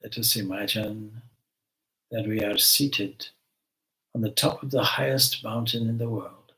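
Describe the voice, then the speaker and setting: A man leading a guided meditation as a spiritual teacher, giving gentle instructions with long pauses between phrases. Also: on the low side, poor audio.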